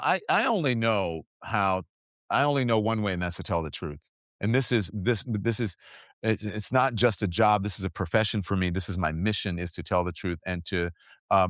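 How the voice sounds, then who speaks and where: A man's voice: lowish voice, a bit bright, no echo, clean studio sound. A man hosting his own show, talking earnestly and reflectively in casual conversation, with many fillers and restarts. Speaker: podcast host.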